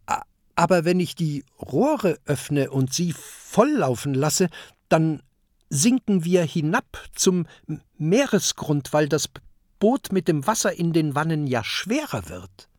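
A stuttering male submarine captain from a children's storybook, as a narrator puts him on, proud yet timid, tripping over the first sound of each word. The voice sits higher than the narrator's own, lively and slow. The recording is dry and close. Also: no room sound. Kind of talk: storybook character voice.

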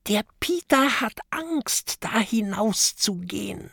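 A squeaky little porcupine girl from a children's storybook, as a narrator puts her on, small and excitable. Her voice jumps up high and swings about, slow and a little breathy. The sound is dry and close. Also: no echo, exaggerated voice. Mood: whispering. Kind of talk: storybook character voice.

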